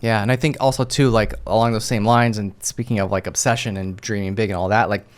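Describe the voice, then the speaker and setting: A man's voice: on the low side, steady tone, fast talker. A man in his thirties hosting a casual podcast interview, emphatic and affirming, often repeating his phrases. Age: 30s. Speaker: podcast host. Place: podcast studio.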